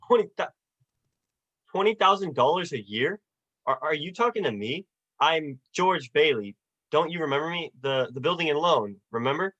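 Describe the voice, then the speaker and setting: A man's voice: fairly high pitch, expressive, moderate pace, a bit bright, dull audio. A young man playing an earnest, stammering, hot-headed small-town husband in a folksy 1940s radio drama style. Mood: flustered, incredulous. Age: young adult.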